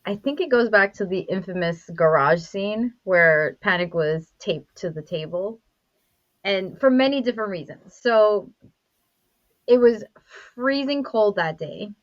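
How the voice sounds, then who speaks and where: A woman's voice: mid-range voice, animated, unhurried, no room sound. A woman vividly telling a story from a cold day on set during a podcast chat. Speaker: cast member recalling filming. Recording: dry, dull lo-fi sound.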